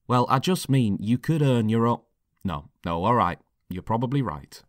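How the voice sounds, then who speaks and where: A man's voice: medium pitch, lively intonation, normal speed, no echo, studio quality, voice pushed up, natural voice. A man voicing a controlling boyfriend in an audio story, colloquial and mock-affectionate, with a temper under the cajoling. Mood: indignant, defensive. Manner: colloquial, calls listener love, cajoling then bickering. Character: controlling boyfriend, mock-affectionate, prone to sudden indignant rage. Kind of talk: dramatic monologue in an audio story.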